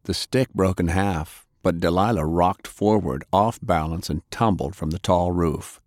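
Male audiobook narrator, low voice, very dry close sound, telling action fiction. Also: a little slow, a little mellow, dry recording.

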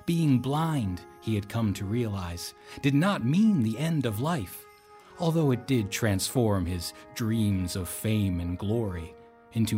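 An actor playing a reflective storyteller, narrating an audio drama in a measured, literary manner. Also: male voice, mid-range voice, darkish tone. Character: reflective male storyteller, former subordinate of a captain.

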